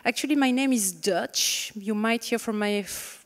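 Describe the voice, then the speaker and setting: A woman's voice: fairly high pitch, normal speed. A woman in her thirties from France with a French accent, moderating a fireside chat on stage, chatty and joking with many fillers, speaking into a handheld mic. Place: conference stage in a large hall. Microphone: handheld microphone. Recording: reverberant hall sound.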